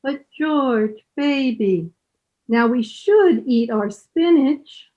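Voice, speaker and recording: Lady doing a prim meddling older mom from radio comedy, highish, babies her son, bit dull recording. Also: expressive, dark tone, full character voice. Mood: prim, cooing. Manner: cooing, fussy, drawn-out delivery. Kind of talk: old-time radio sitcom acting. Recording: slightly dull video-call sound.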